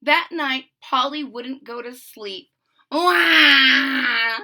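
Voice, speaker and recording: Female storybook reader: slow, highly animated pitch, expressive narration for young children. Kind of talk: children's read-aloud narration with explanations.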